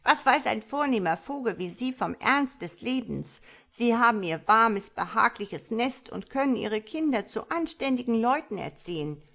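A woman's voice: fairly high pitch, big pitch swings, normal speed, hardly any pauses, fairly bright tone, pitched above the performer's own voice, heavily acted. A woman voicing a big, gloomy, touchy male frog in a storybook reading, grumbling and dropping in quacks. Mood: glum, complaining. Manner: croaky, grumbling, interjects quacks. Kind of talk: storybook character voice.

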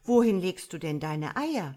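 Woman playing a cheery chatty starling, bit of a know-it-all, lowish voice. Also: very animated, measured pace, warm tone. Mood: breezy. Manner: breezy, lecturing, light. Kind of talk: storybook character voice.

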